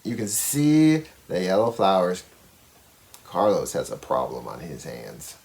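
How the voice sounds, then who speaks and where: A man's voice: low voice, wildly swooping pitch, unhurried, a little mellow. A man reading a children's storybook aloud expressively, with simple explanatory asides for young listeners. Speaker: storybook reader.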